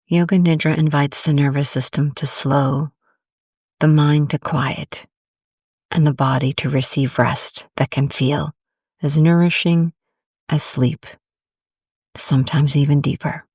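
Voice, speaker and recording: Slow, soothing woman guiding meditation. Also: low-pitched, husky, dry recording, studio quality. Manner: gentle, calm, unhurried delivery with long pauses. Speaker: guided meditation host. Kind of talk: soothing guided meditation narration.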